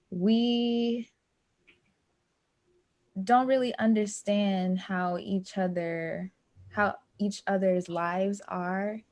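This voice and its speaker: A woman's voice: mid-range voice, darkish tone, no room sound, dull audio. A woman speaking casually as an actress on a post-show panel.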